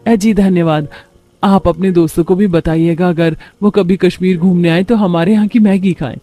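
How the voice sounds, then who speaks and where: A man's voice: sits high, steady tone, normal speed, warm tone, natural voice. A man voicing a humble, hardworking young daughter-in-law who speaks sweetly and politely in a moral story. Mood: polite, grateful. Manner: polite, deferential.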